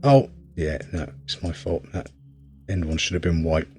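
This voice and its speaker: A man's voice: low voice, animated, moderate pace, a little mellow, soft background music. A man giving casual gameplay commentary, rambling wryly as he thinks aloud while playing. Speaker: game reviewer and player.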